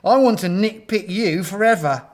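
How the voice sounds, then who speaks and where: Fussy lady gorilla for a storybook, voiced by a man: high, sighing, drawn-out words. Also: close to an everyday voice. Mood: adoring. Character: female gorillas, fussy, haughty, then gushing admirers.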